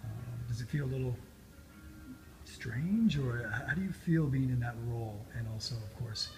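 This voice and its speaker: A man interviewing a cyclist, friendly and quick to laugh, asking long questions full of fillers. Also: mid-range voice, lively intonation, low-quality recording. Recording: noticeable background noise.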